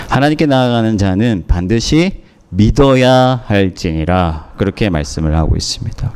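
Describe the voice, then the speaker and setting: A man preaching a sermon as pastor, hoarse from a cold, speaking in measured phrases with pauses and fillers. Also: lowish voice, mellow. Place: church in Moscow.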